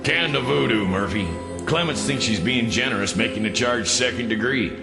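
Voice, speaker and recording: An actor playing a gruff, impatient, needling police officer in a noir radio drama. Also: male voice, medium pitch, moderate pace, natural voice. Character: gruff, needling male police officer, detective's old acquaintance. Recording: roomy reverb, music underneath.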